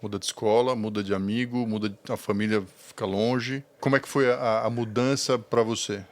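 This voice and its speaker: A man's voice: on the low side, fairly even intonation, a little fast. A man with a Brazilian accent hosting a podcast, asking questions and commenting in a casual, conversational way. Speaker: podcast host, interviewer. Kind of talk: casual interview chat.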